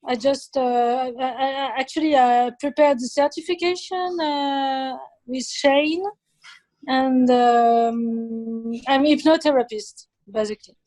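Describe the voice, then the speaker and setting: A woman's voice: sits high, steady tone, slow pace, a little mellow, dry recording. A woman who is a hypnotherapist, speaking hesitantly with many fillers on a video call. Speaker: participant, hypnotherapist.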